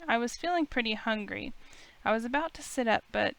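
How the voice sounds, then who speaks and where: A woman playing a flustered, sceptical young heroine, narrating a visual novel in the first person with expressive dialogue. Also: fairly high pitch, brisk, creaky. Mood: bewildered. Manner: incredulous, expressive. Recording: music behind the voice.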